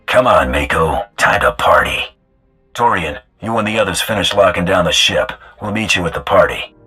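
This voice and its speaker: A tough video game bounty hunter, gruff and cocky. The voice is extremely deep and raspy, with a hard forceful edge, delivered in terse, wry lines. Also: male voice, expressive, normal speed, soft background music, exaggerated voice. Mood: cocky, relaxed. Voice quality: gravelly, husky. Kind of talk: video game character acting.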